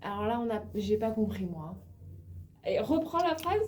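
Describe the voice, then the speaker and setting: A woman's voice: mid-range voice, sing-song, moderate pace, a little mellow. A woman teaching a beginner French class, patient and encouraging, often switching into English to explain words. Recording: echoey room, mic far off, noisy.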